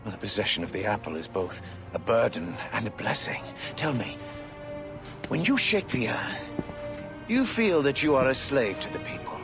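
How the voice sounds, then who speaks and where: An actor playing a proud, calculating commander and ruler in a video game, speaking in measured, probing rhetoric. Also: male voice, medium pitch, very animated, natural voice. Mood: smug, probing. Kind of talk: dramatic video game acting. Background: music.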